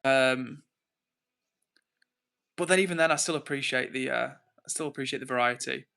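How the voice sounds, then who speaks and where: Bright-voiced, chatty English man livestreaming. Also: medium pitch, moderate pace, gravelly. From England. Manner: chatty, informal, rambling, thinks aloud. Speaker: livestream host. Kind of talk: casual live chat reading out comments.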